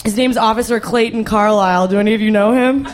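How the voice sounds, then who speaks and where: A young woman performing a stand-up comedy set on stage, brash and profane, with a hoarse voice that carries. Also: mid-range voice, fairly even intonation, fast. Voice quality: hoarse, carrying voice. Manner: brash, profane, self-mocking. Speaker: stand-up comic. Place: comedy stage with live audience.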